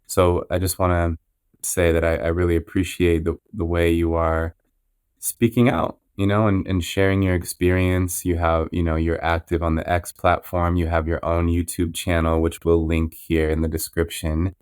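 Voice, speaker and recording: A man with a low, level voice hosts a podcast and talks in an earnest, measured way. His tone stays clear and smooth, recorded dry and close. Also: little pitch movement, darkish tone, dry recording. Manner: measured, with frequent restarts and "you know". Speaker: podcast host. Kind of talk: interview intro, earnest and reflective.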